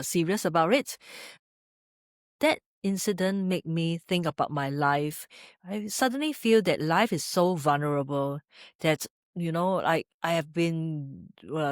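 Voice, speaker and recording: A middle-aged woman with a Singaporean accent, talking about herself as an interview guest, haltingly and often searching for her words. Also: sits low, no echo, studio quality. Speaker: podcast guest, street photographer. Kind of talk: conversational interview, personal storytelling. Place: indoors in a gallery.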